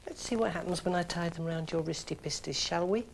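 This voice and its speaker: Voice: medium pitch, very animated, moderate pace, a little hiss or hum, rough recording. A storyteller voicing a vain, scheming young woman who talks in a coy, sugary, playful way.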